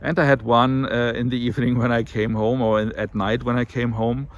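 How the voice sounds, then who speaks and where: A man's voice: mid-range voice, flat delivery, brisk. A man talking casually to camera in a weight loss vlog, slightly nasal from an allergy and rambling with many fillers and self-corrections. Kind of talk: casual monthly vlog talk.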